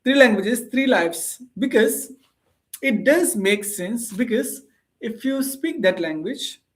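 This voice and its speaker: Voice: mid-range voice, animated, takes it slowly. A tutor who is a native Telugu speaker with an Indian English accent, explaining things earnestly in casual conversation practice and often rephrasing points. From Andhra Pradesh, India.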